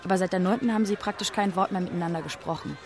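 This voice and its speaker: A woman's voice: medium pitch, racing, music in the background, a crowd in the background, lightly acted. An actress playing a bitter, self-mocking teenage girl confiding a sardonic monologue in an audio drama.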